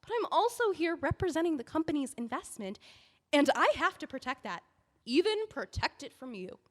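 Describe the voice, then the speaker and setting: An actress playing a young intern editor who pleads earnestly and emotionally, rushing between thoughts. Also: female voice, high voice, very animated, in a large hall. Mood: flustered. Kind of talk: audio drama acting.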